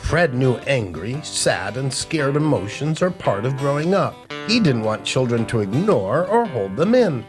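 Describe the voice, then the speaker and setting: A man hosting a children's storytime, reading aloud with expressive, drawn-out emphasis on key words. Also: mid-range voice. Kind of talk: animated picture-book read-aloud for children. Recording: music playing behind the voice. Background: guitar music.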